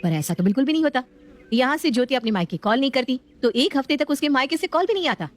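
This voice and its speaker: A woman's voice: sits high, expressive, fast. A woman reading scripted story narration as the narrator of a family tale.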